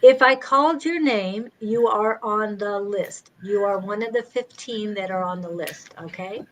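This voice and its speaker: A woman's voice: mid-range voice, unhurried, a little hiss or hum, low-quality recording. A woman co-hosting a live psychic reading stream, calling names and keeping order. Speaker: co-host.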